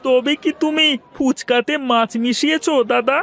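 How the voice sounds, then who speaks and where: A man voicing an excitable male snack customer in a children's cartoon. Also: very high voice, moderate pace, some chatter behind, slight background noise. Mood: delighted.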